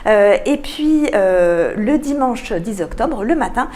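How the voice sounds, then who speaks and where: A woman's voice: on the high side, animated, takes it slowly, a little mellow. A woman answering as a radio interview guest, giving articulate, enthusiastic explanations as a cultural programme manager.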